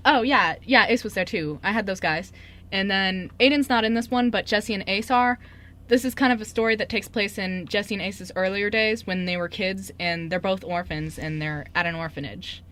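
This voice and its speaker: A woman's voice: medium pitch, normal speed, a bit bright. A young woman in casual, joking, informal chat on a radio show. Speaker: radio show member and script writer.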